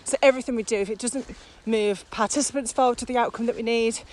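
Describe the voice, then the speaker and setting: A woman's voice: fairly high pitch, steady tone, fast talker, darkish tone. A middle-aged woman co-hosting a podcast, chatting casually while walking outdoors, laughing often and swearing bluntly. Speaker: podcast co-host, virtual training company. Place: outdoors, windy. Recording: noisy outdoor wind.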